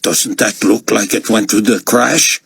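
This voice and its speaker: A man voicing a calm male church leader who speaks in a measured, reassuring way. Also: lowish voice, creaky, breathy edge, no echo, voice pushed up, heavily acted.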